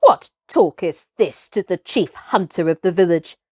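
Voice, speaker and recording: A woman's voice: lowish voice, expressive, measured pace, a little mellow, breathy edge, no echo, clean studio sound. A woman voicing a pompous, angry old village hunter who scolds self-importantly in a storybook. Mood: scornful.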